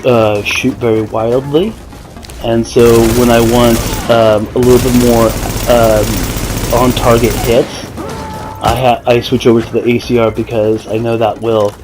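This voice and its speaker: A man's voice: mid-range voice, background noise. A man giving casual gameplay commentary, explaining things in a hesitant, self-correcting way. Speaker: gaming video maker. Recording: voice over faint game music and gunfire.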